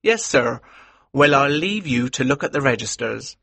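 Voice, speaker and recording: An actor playing a chatty, helpful village parish clerk, respectful in manner, in a dramatised audiobook. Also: male voice, mid-range voice, lively intonation, moderate pace, vocal fry, a bit bright, no room sound.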